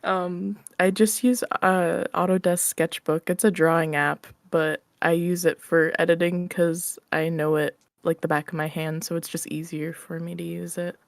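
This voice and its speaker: A woman's voice: on the low side, little pitch movement, normal speed, gravelly, hardly any pauses, no echo, studio quality. A woman chatting casually as an interview guest, candid and informal, with a giggly manner. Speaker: interview guest, audio roleplay creator. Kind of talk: casual chat.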